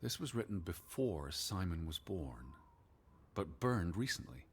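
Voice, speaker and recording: An actor playing a weary, hesitant American naturalist of the 1920s in a video game, speaking in a halting, subdued way. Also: male voice, sing-song, a little hiss or hum, full character voice. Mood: hesitant, somber. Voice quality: low, husky.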